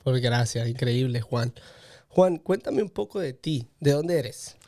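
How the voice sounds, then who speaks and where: A man's voice: medium pitch, lively intonation, measured pace, darkish tone. A man hosting a podcast, interviewing a guest in a conversational style. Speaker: podcast host.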